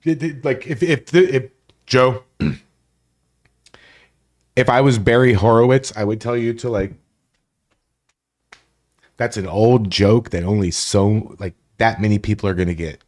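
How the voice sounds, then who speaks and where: A man's voice: lowish voice, steady tone, unhurried, pauses often, darkish tone. A man from Florida hosting a casual live stream, rambling, greeting chat viewers by name and swearing freely. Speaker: livestream host.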